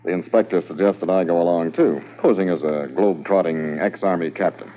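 A man playing a calm, methodical police sergeant in a 1950s radio drama, on a muffled, hissy transcription. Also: deep voice, natural voice. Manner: measured, calm and practical. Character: steady, methodical Mountie sergeant.

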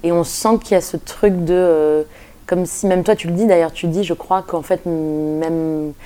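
Podcast host, woman, low warm voice, gentle interviewer. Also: fairly even intonation, a little slow, clean tone. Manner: gentle, leading questions. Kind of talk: casual interview questions.

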